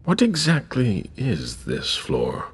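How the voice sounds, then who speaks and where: An actor voicing a guarded, curt and composed vampire agent in a video game, with a low, gravelly, husky voice. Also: huge pitch swings, takes it slowly, exaggerated voice. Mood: guarded, probing.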